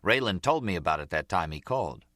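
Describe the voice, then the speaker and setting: A man's voice: sits low, lively intonation, fast, bright, forceful tone, dry recording, clean studio sound. A man voicing a blunt, matter-of-fact, unsympathetic male adviser in an audiobook crime story. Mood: frank, weary.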